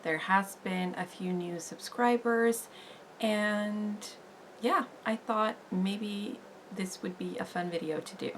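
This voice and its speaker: A Norwegian woman in her thirties with a Norwegian accent, chatting casually on her beauty channel, unhurried and full of "like" fillers. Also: medium pitch, slight background noise. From Norway. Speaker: beauty vlogger. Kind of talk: casual get-to-know-me chat.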